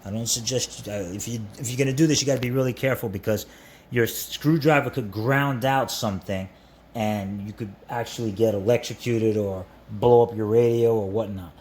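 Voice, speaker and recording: A man's voice: medium pitch, fairly quick. A man narrating a hands-on how-to in an informal way, repeating himself as he talks the viewer through each step. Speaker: repair tutorial host. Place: indoors at a workbench. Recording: steady background noise.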